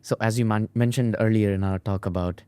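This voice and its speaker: A man's voice: fairly low pitch, steady tone, fairly quick, warm tone, clean tone, dry recording. A man hosting an interview podcast, asking questions and adding short, agreeable affirmations. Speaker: podcast host.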